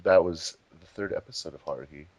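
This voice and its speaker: A man's voice: low-pitched, fairly quick, a little mellow, no echo. A man hosting a casual group discussion as a commentary host. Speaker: host of a fandub commentary track.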